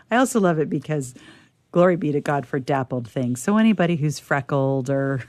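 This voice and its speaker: A woman's voice: deep voice, expressive, moderate pace, a little mellow, no echo. A woman radio theatre player, talking casually about poetry and reading it out. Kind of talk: casual talk and measured poetry recitation.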